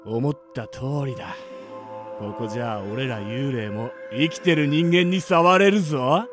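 A man playing a scheming, folksy ghost with rough, wheedling speech in a radio drama. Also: mid-range voice, measured pace, music in the background, natural voice. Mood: menacing. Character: scheming rough male ghost, folksy drinker, falsely friendly. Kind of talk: amateur radio drama acting.

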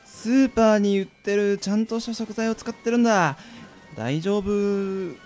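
A man's voice: sits high, moderate pace, darkish tone, close to an everyday voice. A man playing a firm, bossy young man who urges his friends on in a comedy radio drama. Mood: reassuring. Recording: background music under the voice.

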